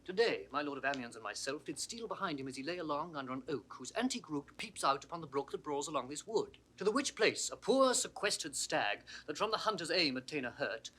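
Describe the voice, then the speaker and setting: An eloquent lord of a duke's court. The voice is fairly high and very bright, spinning florid theatrical verse in classical Shakespearean audio drama manner. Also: male voice, sing-song, fast talker, hardly any pauses, husky, heavily acted. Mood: vivid, mock-mournful. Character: courtly lord attending exiled duke, eloquent storyteller.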